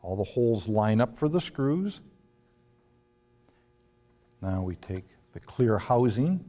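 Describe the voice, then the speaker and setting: Slow, mellow man in an echoing room. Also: mid-range voice, big pitch swings. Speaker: product demonstrator. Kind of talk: step-by-step instructional demonstration. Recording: long reverberant room echo.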